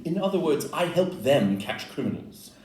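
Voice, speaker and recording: Distant, echoey stage recording. Male actor as Victorian gentleman detective: precise, dry, self-assured, expressive pitch. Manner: precise, formal, measured wording. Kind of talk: stage audio-play acting. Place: live performance in a club room. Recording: distant roomy live recording, faint noise.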